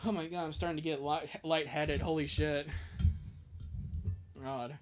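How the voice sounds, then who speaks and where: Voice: medium pitch, fairly even intonation, measured pace, background noise. A live-stream dub performer speaking casually between readings. The commentary is sarcastic and jokey, with loose swearing thrown in.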